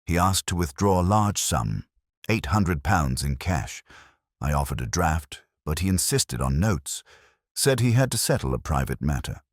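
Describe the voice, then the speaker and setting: A man voicing a wary, polite bank manager of sixty in a measured, formal manner. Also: extremely low pitch, sing-song, no echo, studio quality, exaggerated voice. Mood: recollecting.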